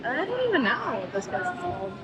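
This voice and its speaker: A woman's voice: very high-pitched, huge pitch swings, fast talker, a crowd in the background. A woman chatting casually with her family in a travel vlog. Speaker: travel vlogger, mother. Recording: noisy location sound with traffic.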